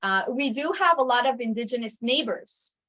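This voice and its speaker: A woman's voice: on the high side, animated, normal speed, sharp tone. Chatty woman on a muffled video call. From Ecuador. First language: Spanish. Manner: rambling, many fillers and restarts. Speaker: community resident. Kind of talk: casual chat on a video call. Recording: dull lo-fi call audio.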